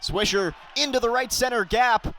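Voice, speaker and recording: A young man calling a baseball game live as play-by-play announcer, describing each pitch and getting excited on big plays. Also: normal speed. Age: young adult.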